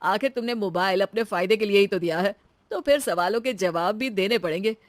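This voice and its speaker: A woman voicing a calm young husband with a reasoning, teasing manner in story dialogue. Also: medium pitch, fairly quick, no room sound, lightly acted.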